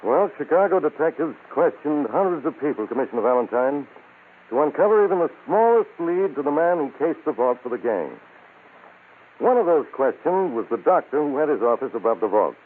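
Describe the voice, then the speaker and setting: An actor playing an earnest American police lieutenant who reports a case in radio crime drama narration. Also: male voice, fairly high pitch, lively intonation, a little fast. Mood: earnest, reporting. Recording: tinny old radio recording.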